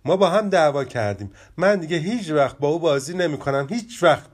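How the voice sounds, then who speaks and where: A man voicing a proud, quick-tempered young rabbit boy in a children's storybook reading, speaking with emphatic indignation. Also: fairly high pitch, animated, pitched above the performer's own voice. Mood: upset.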